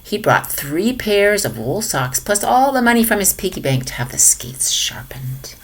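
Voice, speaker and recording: A woman reading a children's picture book aloud in an expressive manner, sometimes dropping to hushed tones. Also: medium pitch, normal speed, breathy edge. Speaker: storybook reader for a children's lesson.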